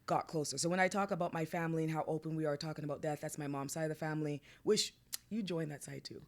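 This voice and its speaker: A woman's voice: deep voice, quick pace. Chatty woman hosting a podcast. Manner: chatty, laughs often, self-deprecating. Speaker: podcast host. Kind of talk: casual podcast conversation.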